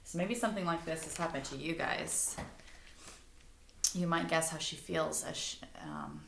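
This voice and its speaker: A woman's voice: low voice, normal speed, gravelly, a bit bright, a little hiss or hum. A woman reading a storybook aloud to children, stopping to put questions to them. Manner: engaging listeners with questions. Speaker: storybook reader. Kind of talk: animated read-aloud to children. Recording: echoey room.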